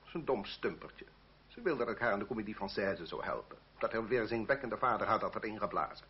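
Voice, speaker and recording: Impulsive, very husky aristocrat. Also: male voice, fairly low pitch, animated, brisk. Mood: resolute, disdainful. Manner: fervent, theatrical. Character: impulsive, lovestruck aristocrat, arrogant towards his mistress. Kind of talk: Dutch radio play acting. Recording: muffled old radio recording.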